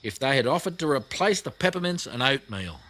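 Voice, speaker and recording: A man voicing a savage woman shopkeeper, pitched up. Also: very animated, fairly quick, pushed and bright. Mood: savage, indignant. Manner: choking words out in anger.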